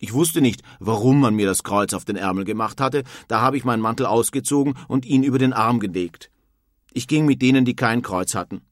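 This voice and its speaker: A man's voice: mid-range voice, fairly quick, dry recording, studio quality, lightly acted. An actor playing a male emigrant who recounts his arrival plainly, read as part of a documentary radio play. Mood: recounting.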